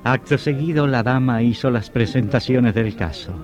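A man's voice: mid-range voice, normal speed. A man narrating a horror radio drama in a solemn, theatrical way. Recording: dull vintage radio recording, music behind.

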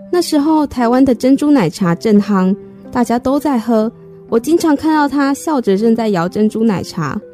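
A woman narrating a scripted radio drama in a measured storytelling manner. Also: on the high side, dark tone, close to an everyday voice.

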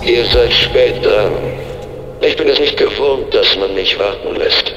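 An actor playing a mysterious, commanding masked informant in an audio drama, speaking in a deliberate, stern and formal way. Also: male voice, medium pitch, a bit bright, highs cut off, faint music behind, lightly acted. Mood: cool, impatient. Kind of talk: German audio drama acting. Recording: echoey reverberant space, some noise.

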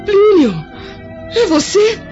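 An actress with a Brazilian accent playing a gentle, frail blind young noblewoman of ancient Rome in a radio drama, tender and earnest. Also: very high voice, animated, slow pace, heavily acted. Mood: tender surprise. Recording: dull lo-fi audio with music.

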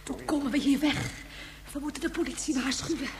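A woman's voice: high voice, a little fast, roomy sound, noisy background. An actress playing an anxious woman on the run in a radio drama. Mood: worried, urgent. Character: anxious woman fugitive trying to escape.

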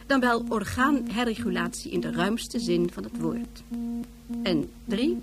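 A woman playing an impersonal official narrator, reciting stern law in a formal, measured way for a Dutch radio drama. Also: medium pitch, lightly acted.

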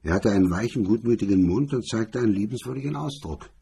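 Male narrator for noir crime prose: deep-leaning, mellow and dark, heavily husky. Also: animated, fast. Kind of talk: scripted narration of a hard-boiled crime story.

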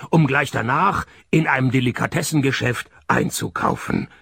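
An actor playing a dry, stubborn private detective in a radio play, with a Swiss accent and a deadpan, sardonic delivery. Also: male voice, medium pitch, gravelly, breathy edge, no room sound, heavily acted. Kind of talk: radio play acting and first-person narration.

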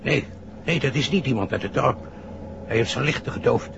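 An actor playing an authoritative senior police chief in a 1960s Dutch radio drama, clipped and commanding. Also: male voice, mid-range voice, moderate pace, gravelly, natural voice. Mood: terse, watchful. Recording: dull, noisy vintage radio drama recording.

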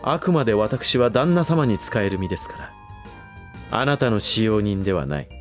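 An actor playing a loyal manservant in a Japanese voice drama, coldly polite and distrustful, with formal phrasing and a barbed edge. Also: male voice, fairly low pitch, expressive, moderate pace, faint music behind, lightly acted. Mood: resentful.

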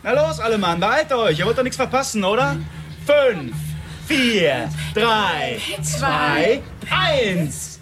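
An actor playing a volatile, taunting killer who performs for television viewers in a radio drama. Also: male voice, high-pitched, sing-song, slow, full character voice. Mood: manic, threatening. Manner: brash, crude, taunting, addressing a mass audience. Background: music.

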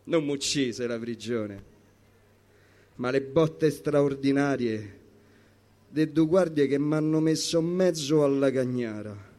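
Male poetry performer, Roman accent, unhurried and deliberate, rhythmic, emphatic delivery. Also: mid-range voice, dark tone. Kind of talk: dramatic spoken-word poetry recital.

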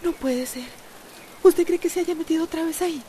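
An anxious mother in a Costa Rican radio drama. Her voice is high, full of sighs and gentle scolding, with faint noise behind it. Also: fairly quick. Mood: anxious, upset. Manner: anxious, sighing, fussing. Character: worried, fretful mother of teenagers, gently scolding.

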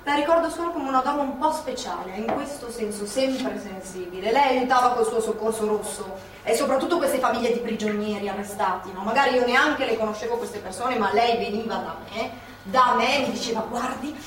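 Actress doing old emilian partisan lady, quick and chatty, mic far away, big echo. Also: a little high, noisy background, close to an everyday voice. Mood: reminiscing. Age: older. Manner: chatty, reminiscing, drifts into asides. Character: elderly woman, former partisan, talkative. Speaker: actress in a stage play. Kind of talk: theatre reading, recorded live. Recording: live stage recording from far off, echoey.

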